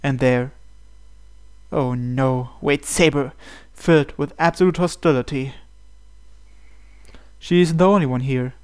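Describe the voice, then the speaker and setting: A man's voice: mid-range voice, steady tone, slow pace, long pauses, clear, resonant tone. A man reading first-person visual novel narration aloud as he plays. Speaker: game player reading aloud. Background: soft game music.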